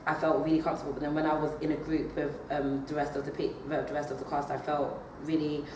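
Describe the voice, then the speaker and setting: A woman's voice: low voice, level tone, fast. A young woman, a drama student, answering interview questions hesitantly with many fillers and restarts, recorded from a distance in an echoey room. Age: young adult. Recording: echoey room, far from the mic, noisy.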